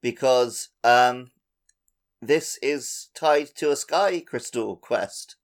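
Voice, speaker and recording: A man's voice: medium pitch, extremely slow. A man co-hosting a video game podcast, chatting casually in an informal, laid-back manner. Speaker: podcast co-host.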